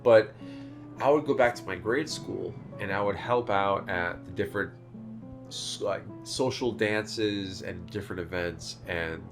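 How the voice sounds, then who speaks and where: Podcast host, male, nasal, lowish voice, casual rambling storyteller, faint guitar underneath. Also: a little slow. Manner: rambling storytelling with many fillers. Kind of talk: casual chat.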